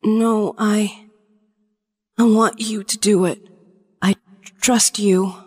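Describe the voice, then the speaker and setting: A woman playing a fragile, loving wounded lieutenant in a musical fan audio drama, halting and faint. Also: medium pitch, steady tone, a little mellow, slightly rough. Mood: weak, anxious.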